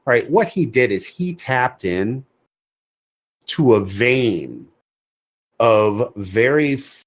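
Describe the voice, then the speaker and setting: A man's voice: mid-range voice, sing-song, takes it slowly. A man co-hosting a podcast in an opinionated, casual discussion, emphatically asking and answering his own questions. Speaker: podcast co-host.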